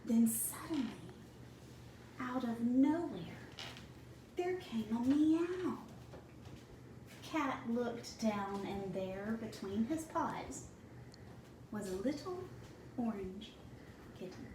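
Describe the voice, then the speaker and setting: A woman reading a picture book aloud to young children in a deliberate way, pausing for asides to the listeners. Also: a little high, expressive, dark tone. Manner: slow, deliberate phrasing for children. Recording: roomy, distant mic with clear background noise.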